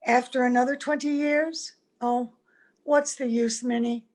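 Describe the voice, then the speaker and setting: A fairly high female voice from an actress as a wistful gentlewoman with a secret in an old-fashioned radio play. She speaks earnestly and gently, with a touch of melodrama. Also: steady tone, unhurried. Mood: wistful, anguished. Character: wistful, secretive mother posing as a young visitor. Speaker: actor in an amateur radio play. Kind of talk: old-time radio drama acting. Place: at home, recorded over video call.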